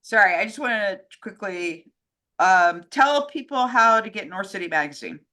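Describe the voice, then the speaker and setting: A woman's voice: medium pitch, animated, normal speed, sharp tone. A woman co-hosting in a casual chat, speaking in a hesitant, slightly apologetic way. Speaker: co-host relaying audience questions and comments.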